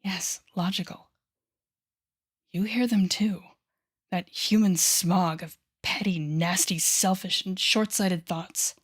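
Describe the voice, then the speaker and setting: A woman playing an intense, coaxing female telepathic villain with a secretly tender side in an intimate audio roleplay, speaking softly and often whispering with deliberate dramatic pauses. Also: on the low side, steady tone, slightly rough. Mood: bitter, disdainful. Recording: background music under the voice.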